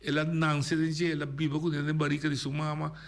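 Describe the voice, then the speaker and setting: A man hosts a radio discussion from the studio. His voice sits a little high, and he argues in an animated, emphatic way, often restarting his sentences. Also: fairly quick. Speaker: radio host.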